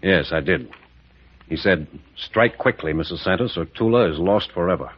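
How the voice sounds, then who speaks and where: Hard-nosed decisive captain, low husky voice, clipped orders, vintage radio adventure. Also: male voice, lively intonation, moderate pace. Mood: suspicious. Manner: clipped, urgent, plain-spoken. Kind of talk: old-time radio drama acting. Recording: muffled old radio recording.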